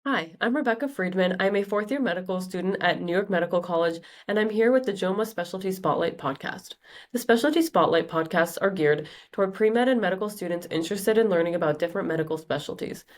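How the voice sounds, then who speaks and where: A woman's voice: fairly low pitch, quick pace. A woman in her twenties, a medical student, reading out a guest introduction. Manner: reading a guest bio aloud. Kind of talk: read introduction.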